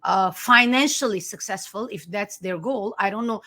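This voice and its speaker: Bright, emphatic Armenian-speaking woman. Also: medium pitch, normal speed. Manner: earnest, emphatic, many restarts. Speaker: author and life coach. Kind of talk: conversational interview.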